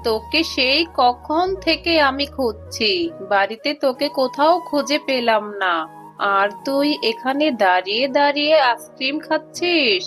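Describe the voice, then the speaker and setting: A woman's voice: sits high, lively intonation, moderate pace, a bit bright. A woman voicing a snobbish, scolding tigress mother in a children's cartoon. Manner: haughty, scolding. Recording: music behind the voice.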